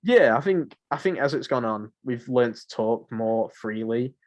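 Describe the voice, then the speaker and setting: A man's voice: medium pitch, expressive, unhurried. A young man co-hosting a podcast in casual, rambling, informal chat. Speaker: podcast co-host. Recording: muffled lo-fi home recording.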